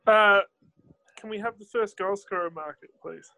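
A man's voice: sits high, normal speed, vocal fry, bright, forceful tone, low-quality recording. A man in casual podcast banter, easygoing and polite, a bit pedantic.

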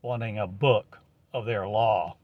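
A man giving a prophetic monologue in slow, halting declamation with archaic phrasing, on a dull lo-fi recording. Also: on the low side, steady tone, no echo.

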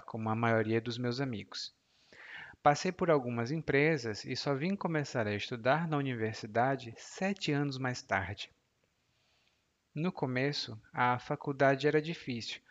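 A man, in his thirties, explains clearly and slowly like a patient teacher and laughs easily. His voice sits at a medium pitch. The recording sounds somewhat dull and muffled. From Ceará, Brazil. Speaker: Portuguese teacher. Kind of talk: podcast language lesson.

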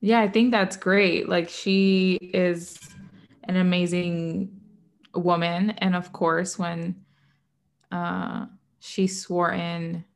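Podcast co-host, female, from Puerto Rico; chatty, laughs a lot, slightly low voice. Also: little pitch movement, drawn-out words. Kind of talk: casual chat.

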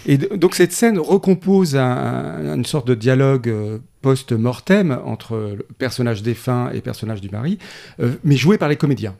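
A man's voice: medium pitch, moderate pace, gravelly, dark tone. A man, a film critic in a podcast discussion, reasoning aloud in an analytical way with frequent restarts.

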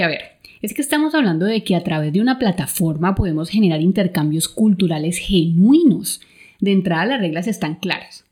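A woman's voice: medium pitch, a little mellow. A woman with a Colombian Spanish accent hosting a travel podcast in a partly scripted, conversational way. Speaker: podcast host.

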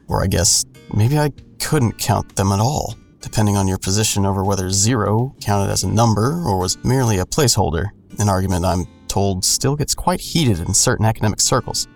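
A man playing a grown son who narrates an audio drama in a sardonic, colloquial, deadpan way. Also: lowish voice, close to an everyday voice. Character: wry adult son narrating his own hard-boiled story. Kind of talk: first-person audio drama narration with dialogue.

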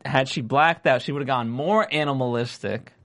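A man hosting a casual TV recap chat, jokey and raspy, often trailing off mid-sentence. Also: medium pitch, normal speed, fairly bright tone. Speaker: show host.

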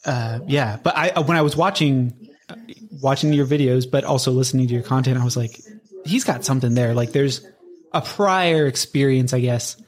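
Male American interviewer, mid-pitched, easygoing podcast-coach chat, informal and loosely phrased. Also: moderate pace.

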